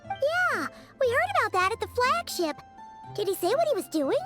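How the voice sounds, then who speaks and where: A woman's voice: extremely high pitch, sing-song, moderate pace, music in the background. A woman voicing a tiny, chirpy, curious and cheeky fairy-like companion in a video game, perky and exclamatory. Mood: friendly, eager.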